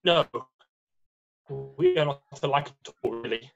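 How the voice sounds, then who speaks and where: An amateur performer voicing a gentle, nervous male faun with a hesitant, apologetic manner in a screenplay readthrough. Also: mid-range voice, wildly swooping pitch, unhurried, highs cut off. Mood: melancholy. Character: nervous, guilt-ridden, gentle male faun, kindly host.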